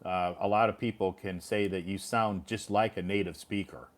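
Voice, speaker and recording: A man's voice: deep voice, fairly even intonation, moderate pace. A middle-aged man hosting a casual interview, chatty and rambling with frequent restarts. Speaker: host.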